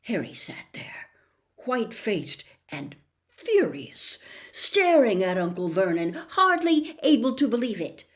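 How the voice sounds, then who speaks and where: A woman reading a story aloud as expressive audiobook narration. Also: on the high side. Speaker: audiobook reader.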